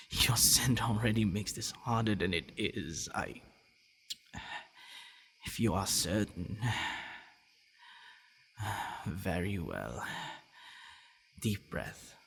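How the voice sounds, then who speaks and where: A man playing a gentle, devoted vampire boyfriend in an intimate ASMR roleplay, speaking softly and haltingly. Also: fairly low pitch, slight background noise, exaggerated voice. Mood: surprised, reluctant, then tender. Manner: halting, soft-spoken, often dropping to breathy murmurs.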